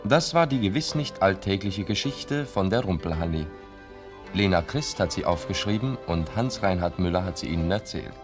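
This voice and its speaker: Low-pitched male radio announcer, faint music. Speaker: radio announcer reading closing credits. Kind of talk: formal 1950s radio announcement.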